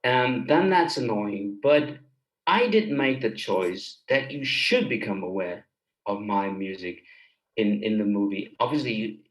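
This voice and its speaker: A man's voice: fairly low pitch, animated, measured pace. A man from Germany talking casually as an interview guest, explaining things with enthusiasm as a film director and composer. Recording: roomy, echoey sound.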